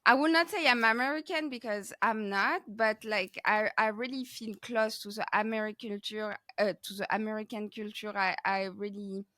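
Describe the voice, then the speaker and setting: A woman's voice: medium pitch, fairly even intonation, moderate pace, few pauses, sharp tone, no room sound, studio quality. A woman from France with a French accent chatting casually as a podcast host being interviewed. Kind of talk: casual conversational interview chat.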